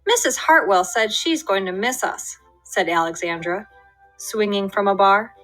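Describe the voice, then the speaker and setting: A woman reading a children's picture book aloud in an expressive, lively storytelling way. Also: mid-range voice, a little slow, faint music behind, rough recording. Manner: lively, expressive storytelling with voiced character lines. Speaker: storybook reader. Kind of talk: children's read-aloud narration.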